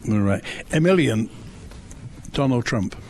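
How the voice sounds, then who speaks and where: A man hosting a live radio talk show from a school, chatty and brisk as he questions students. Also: medium pitch, lively intonation, darkish tone, slight background noise. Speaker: radio phone-in host. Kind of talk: live radio chat on location. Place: school, on location.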